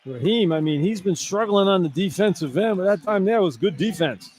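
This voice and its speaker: Guy doing color commentary on radio hoops, chatty analysis, slightly high voice, arena noise in back. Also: lively intonation, a little fast, darkish tone. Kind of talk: live basketball analysis, conversational. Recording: arena crowd noise behind broadcast. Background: arena ambience.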